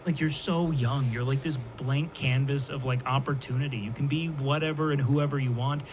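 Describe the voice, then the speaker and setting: A man's voice: mid-range voice, steady tone, moderate pace. A man hosting a casual podcast chat, talking earnestly and encouragingly with lots of fillers. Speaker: podcast host. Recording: noisy online call audio.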